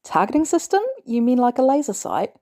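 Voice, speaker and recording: A woman's voice: a little high, big pitch swings, a little fast, mellow, pitched above the performer's own voice. A woman voicing a sarcastic, exasperated male inventor with a wry, informal manner in an audio story. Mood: wry, bantering.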